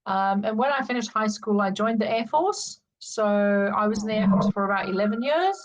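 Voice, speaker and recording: A woman's voice: medium pitch, lively intonation, brisk, poor audio. A middle-aged woman with a South African accent, candidly and reflectively telling her life story as an interview guest. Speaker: podcast guest.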